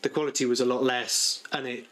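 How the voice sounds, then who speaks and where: A man's voice: medium pitch, flat delivery, a little fast, fairly bright tone, a little hiss or hum. A man co-hosting a casual podcast, giving firm opinions and explaining things at length. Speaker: podcast co-host. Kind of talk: casual chat about cartoons and comics.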